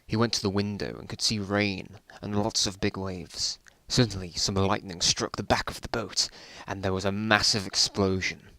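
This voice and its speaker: A man's voice: deep voice, flat delivery, moderate pace, hardly any pauses, sharp tone, dry recording. A man reading his own old stories aloud in a casual dramatic style, with self-mocking, bemused asides.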